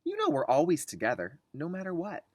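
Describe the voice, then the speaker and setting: An adult reader voicing a calm, reassuring mother of young twins in a picture book. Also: medium pitch, fairly quick, dry recording, voice pushed up. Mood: soothing.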